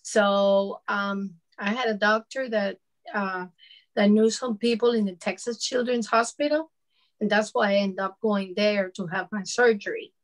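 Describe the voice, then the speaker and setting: A woman's voice: mid-range voice, steady tone, a little slow, rough recording. A woman in her fifties from Venezuela with a Venezuelan Spanish accent, speaking conversationally as a panelist about personal experience, often saying "you know". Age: 50s. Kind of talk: personal account on a discussion panel.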